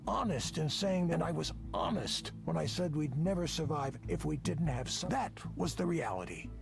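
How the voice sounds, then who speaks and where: A gravelly, weary tech boss in a visual novel. He is defensive and self-justifying, speaking deliberately, with a voice that swings widely in pitch. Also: expressive, over music. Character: self-justifying, drunken male tech project leader, weary and brooding.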